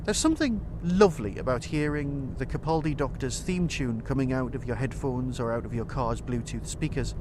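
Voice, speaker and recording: A man's voice: medium pitch, normal speed, hardly any pauses, background noise. A man hosting a review podcast, talking in an emphatic, conversational way full of rhetorical questions. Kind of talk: enthusiastic spoken audio drama review.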